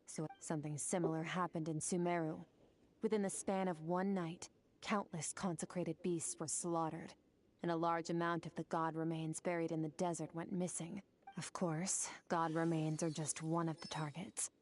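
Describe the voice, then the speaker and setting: A woman's voice: low-pitched, little pitch movement, moderate pace, close to an everyday voice. A woman voicing a cold, aloof warrior. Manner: curt, cold and commanding. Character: cold aloof female warrior, mysterious and distant. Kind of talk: video game cutscene voice acting.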